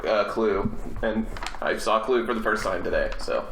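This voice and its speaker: A man's voice: on the low side, normal speed, creaky, fairly bright tone. A man on a podcast panel, chatting casually and jokingly with others. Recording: roomy echo with background noise.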